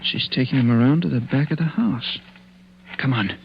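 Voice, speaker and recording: An actor playing a protective, wary young husband in a 1940s radio suspense drama, speaking in hushed, clipped, commanding phrases. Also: male voice, mid-range voice, moderate pace, vocal fry, natural voice. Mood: watchful. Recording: muffled, noisy vintage radio with hum.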